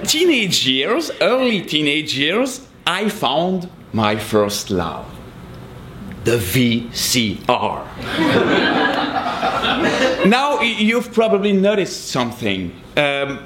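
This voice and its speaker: Animated Belgian man, French-accented. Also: on the high side, takes it slowly. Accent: French-speaking Belgian. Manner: animated, self-mocking. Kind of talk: storytelling performance. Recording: noisy live recording.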